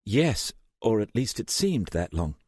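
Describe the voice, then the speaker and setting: A man's voice: lowish voice, big pitch swings, measured pace, a little mellow, breathy edge, dry recording, studio quality, pitched above the performer's own voice, full character voice. A man voicing a frightened young woman journalist, dazed and struggling to remember. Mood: anxious.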